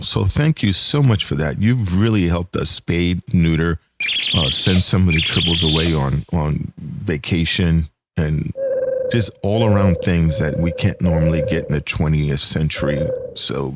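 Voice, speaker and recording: A man's voice: low voice, lively intonation, moderate pace, heavy background noise. A middle-aged man hosting a casual podcast with his co-hosts, joking and laughing often. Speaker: podcast host.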